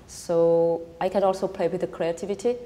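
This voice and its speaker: A woman's voice: fairly low pitch, flat delivery, normal speed, warm tone, a little hiss or hum. Czech-accented woman in a reverberant hall. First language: Vietnamese. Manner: thoughtful, often repeats words while searching. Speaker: panel guest. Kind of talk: panel discussion.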